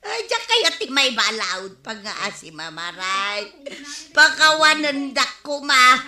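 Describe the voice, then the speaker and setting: A gossiping Filipino village woman in a radio comedy drama. Her voice is high and very sharp, with defensive, stammering exclamations. The sound is echoey. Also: sing-song, slow pace, heavily acted. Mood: flustered, pleading. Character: gossiping village woman, evasive, pleading when caught.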